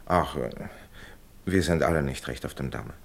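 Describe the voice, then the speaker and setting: An actor playing a conciliatory middle-class gentleman in a radio drama, raspy, speaking as a reassuring, educated old friend. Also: a bass voice, moderate pace, heavily acted. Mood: conciliatory, weary. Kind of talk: German radio drama acting.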